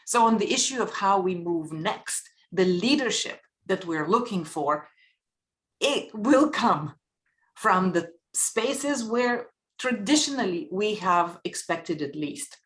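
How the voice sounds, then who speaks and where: A woman's voice: medium pitch, expressive, fairly bright tone. Earnest woman on a policy panel. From Bulgaria. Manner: earnest, measured speech with rhetorical questions. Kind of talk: panel remarks.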